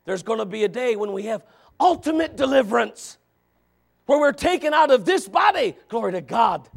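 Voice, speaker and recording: High-voiced shouting preacher man. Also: big pitch swings, normal speed, vocal fry. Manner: loud, shouting, with joking asides to the congregation. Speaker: pastor. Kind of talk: revival sermon.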